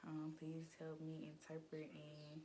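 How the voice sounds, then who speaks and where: A young woman in casual chat doing a tarot reading, laid-back and rambling, with a slightly raspy voice, recorded on a phone at home. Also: low-pitched, completely flat delivery, a little slow, a crowd in the background. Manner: laid-back, rambling, slangy, laughs often. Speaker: tarot reader. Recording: noisy background hiss.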